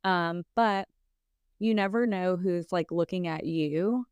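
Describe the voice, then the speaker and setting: Thirties woman co-host, frank and chatty, low-ish smooth tone, close and dry. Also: slow, darkish tone, no room sound. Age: 30s. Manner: candid, filler-heavy, laughs while talking. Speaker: podcast co-host. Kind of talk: casual chat.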